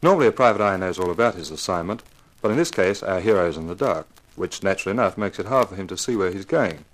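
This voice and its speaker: A male author-host opening a vintage radio mystery. He talks quickly in a fairly low voice, with polished, presentational phrasing. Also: animated, poor audio. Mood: genial introduction. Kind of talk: scripted 1950s radio introduction.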